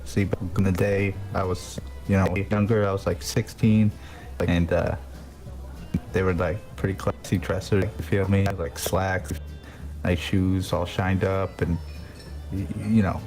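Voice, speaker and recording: A man putting on a young New York mafia hitman who drones his backstory low and flat, stumbling over "you know" at every turn. The voice sounds distant in an echoey voice chat, with music playing behind. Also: a little slow. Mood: boastful. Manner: rambling, hesitant, full of "you know". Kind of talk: improvised character in a game voice chat.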